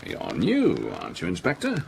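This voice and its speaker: An actor playing a pompous, self-important middle-aged factory owner, blustering and dismissive in a radio play. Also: male voice, low voice, huge pitch swings, moderate pace, vocal fry, noisy background, full character voice. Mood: self-satisfied.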